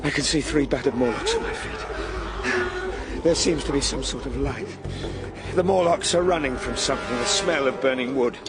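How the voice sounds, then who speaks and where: A man's voice: mid-range voice, very animated, normal speed, over loud music. A man playing a desperate time traveler fighting for his life in a radio drama, speaking emotionally. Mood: tense. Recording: drama dialogue under loud ambient effects.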